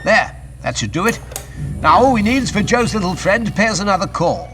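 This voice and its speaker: A man's voice: high-pitched, very animated, brisk, a bit bright. A man playing an assured, courteous, middle-aged scientist-adventurer in a sci-fi audio drama, with urbane, formal and authoritative phrasing.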